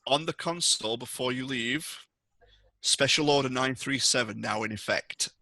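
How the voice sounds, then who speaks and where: A man's voice: medium pitch, moderate pace, creaky, bright, forceful tone, dry recording, poor audio. A man narrating a tabletop roleplaying game as game master, setting out information and scenes for the players.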